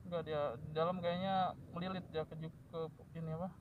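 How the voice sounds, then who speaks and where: A high-pitched man chatting excitedly to his viewers while fishing in a grassy marsh. The outdoor recording carries loud background noise. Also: brisk, narrow, tinny audio. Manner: excited, joking, addresses viewers. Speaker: eel fishing vlogger. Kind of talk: casual outdoor fishing commentary. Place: outdoors in grassy wetland by a ditch.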